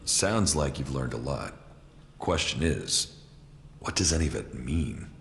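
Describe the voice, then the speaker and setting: A very deep, gravelly stoic soldier. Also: male voice, measured pace, slight background noise, heavily acted. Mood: guarded, questioning. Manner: deadpan, terse. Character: grim, emotionally detached former soldier commander on a mission. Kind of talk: video game cutscene acting. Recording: echoey reverb, music behind.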